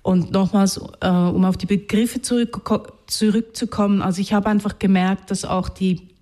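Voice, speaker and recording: Mellow-voiced Swiss woman, thoughtful. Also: medium pitch, fairly even intonation, moderate pace. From Switzerland, Zurich region. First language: Hungarian. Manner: thoughtful, searching for words, self-correcting. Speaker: writer, interview guest. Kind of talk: reflective spoken interview.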